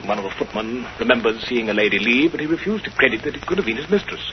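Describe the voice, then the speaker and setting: Male actor as haughty English nobleman, halting and formal, widely swinging intonation, muffled vintage radio play with faint hiss. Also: mid-range voice, expressive, natural voice. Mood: stiff, troubled. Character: pompous, hesitant English aristocrat, aggrieved bridegroom. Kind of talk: 1930s radio acting. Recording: muffled, noisy 1930s radio recording.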